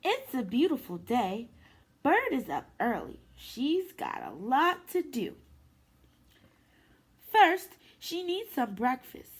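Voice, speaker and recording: A woman preschool teacher reading a picture book aloud to young children in an expressive, sing-song way. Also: high voice, slow, breathy edge. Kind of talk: children's story time read-aloud.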